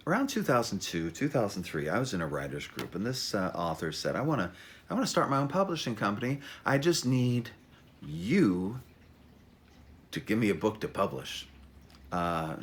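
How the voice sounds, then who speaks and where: A man's voice: low voice, fairly bright tone. A middle-aged man, an author, telling his story informally in a wry, self-deprecating, stop-and-start way. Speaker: author introducing his memoir. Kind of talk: informal spoken monologue, storytelling.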